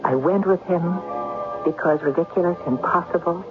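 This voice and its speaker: An actress playing an earnest young woman in a Gothic radio mystery, speaking in halting, heartfelt phrases. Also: lowish voice, close to an everyday voice. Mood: wistful, confiding. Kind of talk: 1970s radio drama acting. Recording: muffled vintage radio broadcast with music.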